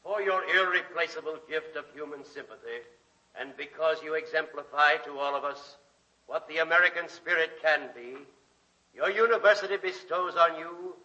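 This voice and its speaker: An actor playing a solemn university official in formal oratory, in 1940s radio drama style. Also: male voice, on the high side, moderate pace, pushed and bright. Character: solemn male university official conferring an honour. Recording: dull, faintly noisy vintage radio recording.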